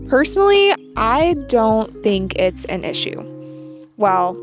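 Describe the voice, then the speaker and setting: American woman with light vocal fry. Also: medium pitch. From United States. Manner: measured, explanatory. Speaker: channel host. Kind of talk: scripted talk to camera.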